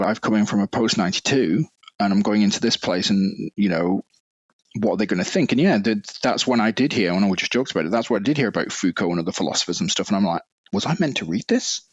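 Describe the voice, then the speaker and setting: A man's voice: lowish voice, no echo, muffled recording. A man from Greater Manchester with a northern English accent, reflecting earnestly as a podcast guest with many fillers and restarts. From Greater Manchester, England. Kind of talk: casual conversational interview.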